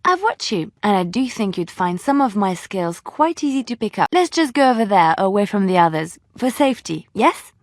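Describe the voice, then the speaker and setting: Young female bard, fantasy game. Devout, wistful, emotive, mid-pitched, recorded dry. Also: very animated, normal speed, few pauses, no echo. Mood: playful. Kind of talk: dramatic fantasy game dialogue acting.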